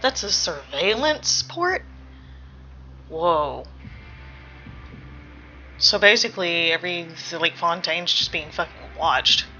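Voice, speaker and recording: Bright, low-pitched woman, casual game commentary. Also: lively intonation, slow, pauses often, a little hiss or hum. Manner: musing, analytical, swears casually. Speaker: reaction video host. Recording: dull lo-fi, faint music behind. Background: faint game music.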